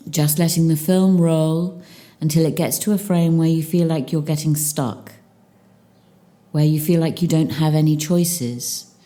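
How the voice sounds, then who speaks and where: A woman leading a guided meditation as a teacher, speaking calmly and soothingly with long pauses between phrases. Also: low-pitched.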